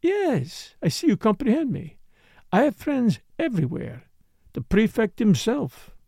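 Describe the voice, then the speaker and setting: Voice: mid-range voice, huge pitch swings, measured pace, gravelly, mellow, slightly rough, dry recording, clean studio sound, voice pushed up, full character voice. A reader voicing a passionate, scheming foreign female dancer in an audiobook story, emphatic, dramatic and accusing. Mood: insistent.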